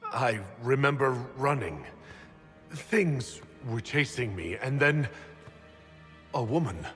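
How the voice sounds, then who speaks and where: An actor playing a bewildered young noble warrior held prisoner in a video game, halting and earnest. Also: male voice, mid-range voice, sing-song, fairly bright tone. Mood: shaken, confused. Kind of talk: dramatic video game acting. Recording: echoing hall, music underneath.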